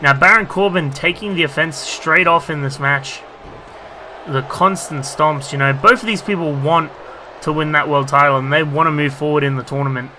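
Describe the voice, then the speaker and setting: A man calling a video game wrestling match in a very bright, fairly high voice, full of "you know" fillers, jumping up in excitement when a big move lands. His voice is a little strained from talking so much, and a faint hiss sits under the recording. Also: normal speed. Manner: rambling commentary with many fillers, bursts of excitement at big moves. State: losing his voice. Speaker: gaming YouTuber commentating a wrestling game. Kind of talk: excited play-by-play commentary.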